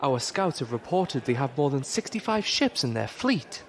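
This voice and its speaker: A man plays a proud, skeptical pirate admiral in a space audio drama. His formal, deliberate speech rises and falls widely in a mid-pitched voice. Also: sing-song, lightly acted. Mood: measured.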